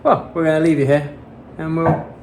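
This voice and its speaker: A man vlogging in a relaxed, rambling way, full of ums and restarts. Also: on the high side, normal speed, a little hiss or hum. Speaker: travel vlogger. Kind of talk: casual chat to camera.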